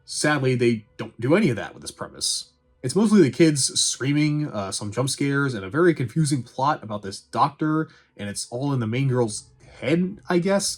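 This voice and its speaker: A man's voice: medium pitch, a little mellow. A man giving a casual, opinionated movie review in a wry, sarcastic, conversational way. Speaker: film reviewer and host.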